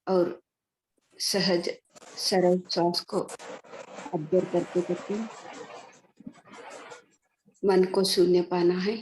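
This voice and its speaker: A woman leads a guided meditation in a slightly low voice. Her delivery is very slow and level, with little rise or fall. She gives simple instructions step by step, leaving pauses between them. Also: a little mellow, low-quality recording. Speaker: meditation teacher.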